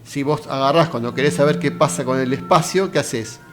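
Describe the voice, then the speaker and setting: A man's voice: medium pitch, fairly quick. An Argentine man giving a casual philosophy lecture, talking straight to the viewer with rhetorical questions. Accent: Argentine Spanish. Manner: conversational, addresses viewer directly with rhetorical questions.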